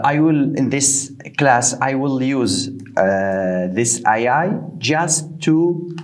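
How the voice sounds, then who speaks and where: Slow, animated man teaching. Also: medium pitch. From Tunisia. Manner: slow and deliberate, repeating words for the learner; non-native English phrasing. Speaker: Arabic teacher. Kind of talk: beginner language lesson.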